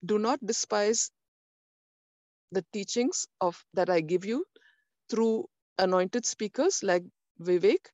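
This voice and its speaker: A woman delivering a prophetic message in the first person, slow and solemn, with frequent pauses and restarts. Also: mid-range voice, darkish tone, dry recording. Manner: slow, solemn, pausing often. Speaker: prophetic speaker. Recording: dull, muffled, dry sound.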